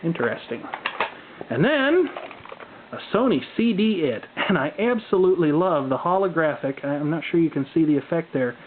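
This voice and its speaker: A man's voice: on the high side, animated, normal speed. A man presenting a casual, unscripted show-and-tell with dry, wry humor and folksy turns of phrase. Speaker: hobbyist video presenter. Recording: muffled phone-like audio with background noise.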